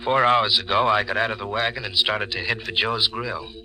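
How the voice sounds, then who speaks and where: A man's voice: lowish voice, fairly even intonation, fairly quick, sharp tone, slight background noise, low-quality recording. An actor playing a hard-boiled, down-on-his-luck hearse driver in 1940s radio noir style, wisecracking and streetwise. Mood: world-weary. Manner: wisecracking, streetwise, weary tough-guy talk. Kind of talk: 1940s radio noir acting, first-person narration and dialogue. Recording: old 1940s radio recording with music.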